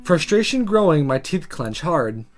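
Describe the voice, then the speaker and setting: A man reading visual novel text aloud as dramatic, expressive first-person story narration. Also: a little high, unhurried, soft background music.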